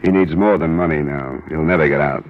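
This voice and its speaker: An actor playing a cool, hard-boiled investigator who narrates his case in a clipped, deadpan 1950s radio drama style. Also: a bass voice, brisk. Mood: calm, sympathetic. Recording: dull vintage radio recording.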